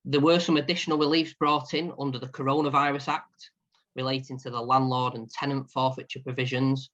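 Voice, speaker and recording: A man presenting a business webinar, reading prepared notes in a steady manner. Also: mid-range voice, a little fast, muffled recording. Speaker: partner and insolvency practitioner.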